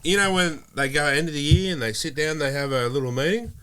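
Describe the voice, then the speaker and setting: A middle-aged Australian man chatting casually about rugby league as a podcast co-host, excitable and quick to jump in. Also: on the high side, smooth, rough recording. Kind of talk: casual sports chat.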